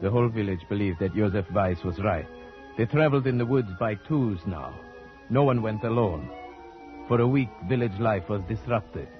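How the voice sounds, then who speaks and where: A man acting as a calm, thoughtful investigator in an old radio play, speaking in a measured, deliberate way. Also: on the low side, close to an everyday voice. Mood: ominous. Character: calm, thoughtful investigator narrating a gothic werewolf tale. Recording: muffled old radio recording with music.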